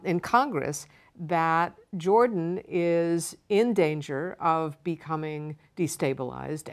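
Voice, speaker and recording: A woman answering interview questions as an expert, measured and explanatory, with emphatic repetition. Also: on the low side. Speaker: guest expert on refugees.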